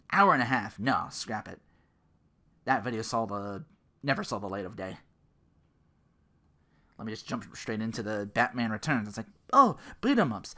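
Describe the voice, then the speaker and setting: Give a bright, forceful voice with very lively swings in pitch. It is a podcast host doing casual, rambling commentary. The delivery is sardonic and sweary, slipping into acted-out quotes. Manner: sardonic, profane, animated. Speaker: podcast host and content creator.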